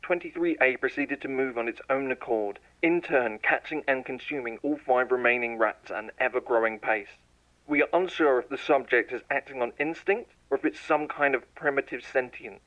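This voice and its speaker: A man playing a scientist dictating lab test logs in a measured, clinical way, in a scripted horror audio story. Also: mid-range voice, highs cut off. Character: male lab scientist logging tests, growing frightened.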